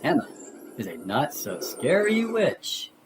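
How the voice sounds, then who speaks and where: A woman reading children's storybooks aloud as an animated narrator, with exaggerated expression and music behind her. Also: low voice, slow pace, background noise. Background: music and sound effects.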